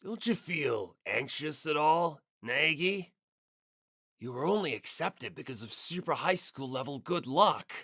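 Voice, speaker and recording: Narrator putting on a low, stern, sceptical schoolmate. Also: expressive, unhurried, gravelly, pushed and bright, dry recording, studio quality, exaggerated voice. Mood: stern, doubting.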